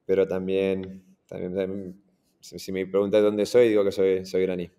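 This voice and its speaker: A man in his forties with a Madrid Spanish accent tells his life story on a video call. His voice sits very low and stays flat and mellow. He talks in a relaxed way, with many restarts and fillers. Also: normal speed, clear, resonant tone. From Iran, raised in Madrid. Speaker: startup founder guest. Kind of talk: casual interview storytelling. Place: in front of a green screen, indoors.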